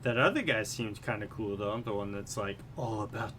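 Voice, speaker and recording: Slangy low-pitched man, faint noise behind. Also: normal speed. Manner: informal, slangy, profane. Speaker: podcast co-host. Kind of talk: casual chat about manga. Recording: noticeable background noise.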